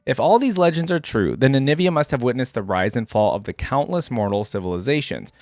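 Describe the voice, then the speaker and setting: A man with a mid-pitched voice presents as a reaction host. The sound is very dry, with no room around it. He moves between casual, enthusiastic fan commentary and reading lore aloud. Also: expressive, a little fast, darkish tone, dry recording, soft background music.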